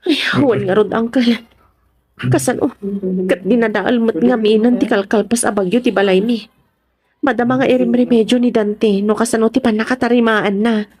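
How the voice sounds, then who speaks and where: Woman playing a higher-pitched, worried Filipino mother. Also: steady tone, moderate pace, a little mellow, husky. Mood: humble, grateful. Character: worried, hardworking wife and mother of struggling family. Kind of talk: radio drama acting.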